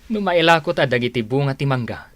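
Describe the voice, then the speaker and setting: A man's voice: medium pitch, animated, fast talker, a bit bright, no echo, natural voice. A man playing a stubborn, greedy, domineering older brother in a radio drama, with an Ilocano accent. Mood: scheming.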